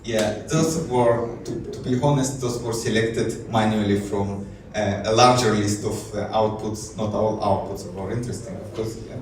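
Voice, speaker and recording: A man's voice: on the low side, steady tone, normal speed. A man from Israel giving a technical conference talk, hesitant with many fillers and restarts. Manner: hesitant, many fillers and restarts, light self-amused laughs. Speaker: conference speaker. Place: conference hall. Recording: echoey hall, distant mic, faint noise.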